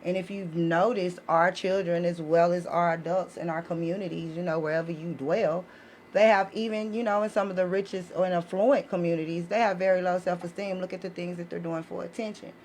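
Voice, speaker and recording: A woman's voice: fairly low pitch, fairly even intonation, brisk, darkish tone. An American woman explaining things in a casual, emphatic way as a podcast guest, often checking that listeners follow. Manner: emphatic, punctuates points with check-in questions. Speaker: podcast guest presenting her educational book series. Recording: clearly noisy live podcast recording.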